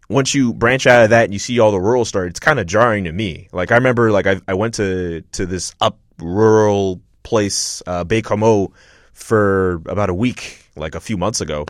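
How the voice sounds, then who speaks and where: A man's voice: on the low side, no echo, studio quality. A young man from Montreal hosting a podcast, chatting loosely with many fillers and restarts. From Montreal, Canada. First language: not English; French is his second language. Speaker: podcast host. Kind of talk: casual interview chat.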